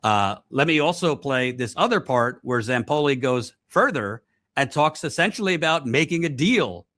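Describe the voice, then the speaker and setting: A man hosting a news commentary show, speaking deliberately and repeating points for emphasis. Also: medium pitch, lively intonation, a bit bright. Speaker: host, commentator. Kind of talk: news commentary to camera, reading out quotes.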